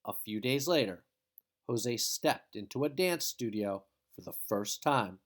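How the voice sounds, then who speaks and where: A man reading a children's picture book aloud in an expressive, dramatic storytelling style. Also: mid-range voice, measured pace. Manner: dramatic, with emphatic exclamations.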